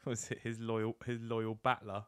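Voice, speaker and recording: A man's voice: on the low side, monotone, a little slow, fairly bright tone, clean tone, no room sound. British male co-host, dry close recording. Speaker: podcast co-host. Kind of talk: casual banter.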